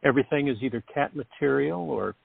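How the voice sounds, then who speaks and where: A man's voice: mid-range voice, fast talker, vocal fry, slightly rough, no room sound. A man narrating a scripted radio play, reading out scene descriptions and stage directions. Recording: tinny, dry phone-like audio.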